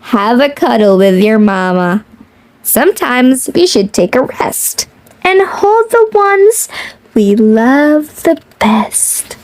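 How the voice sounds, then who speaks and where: A woman's voice: on the high side, big pitch swings, takes it slowly, a little mellow. A woman reading a children's picture book aloud in a playful sing-song way, adding chatty little asides. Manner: chuckles often between lines. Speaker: children's read-aloud host.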